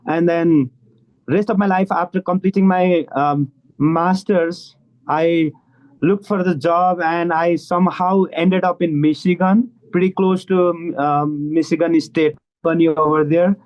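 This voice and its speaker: A man's voice: a little high, fairly even intonation, measured pace, lo-fi sound. A man with a Nepali accent, a guest expert talking on a video call in a conversational interview, hesitant with many fillers and restarts. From eastern Nepal.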